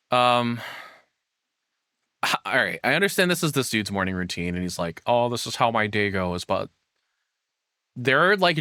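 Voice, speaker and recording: A man's voice: lowish voice, bright, forceful tone, no room sound, studio quality. A young man giving casual, opinionated reaction commentary, exclaiming and swearing as he goes. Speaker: reaction video host.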